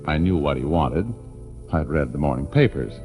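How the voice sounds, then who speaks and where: A man's voice: very deep voice, expressive, normal speed, dark tone. An American man playing a wry, easygoing private detective, narrating in breezy 1940s radio drama style with folksy hesitations. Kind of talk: 1940s radio acting, first-person narration. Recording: vintage radio broadcast, faint music.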